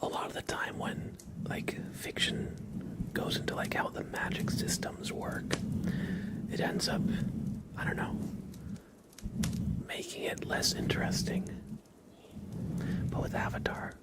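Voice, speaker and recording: A man hosting an ASMR livestream, chatting softly and mostly in a breathy whisper. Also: measured pace, long pauses. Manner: soft-spoken, mostly whispering. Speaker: livestream host.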